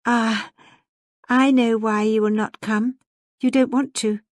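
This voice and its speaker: A petulant young lady from a storybook romance, played by a woman reader, high and insistent. She coaxes and pleads with proud, emotional urgency, in a very dry recording. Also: fairly even intonation, slow, no echo.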